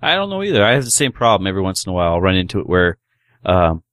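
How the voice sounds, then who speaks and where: A man's voice: sits low, sing-song, rapid-fire, a bit bright, no room sound, studio quality. A man co-hosting a show, speaking in casual conversation. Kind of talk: casual chat.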